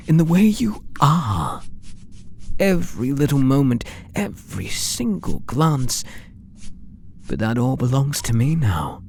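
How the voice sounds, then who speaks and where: A man with a British accent playing an obsessive, possessive painter in an intimate ASMR roleplay, breathy and doting with an undertone of menace. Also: mid-range voice, a little hiss or hum. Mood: fervent, possessive. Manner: slow, breathy, doting, menacing murmur with soft whispers. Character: obsessive, possessive male painter doting on his captive muse.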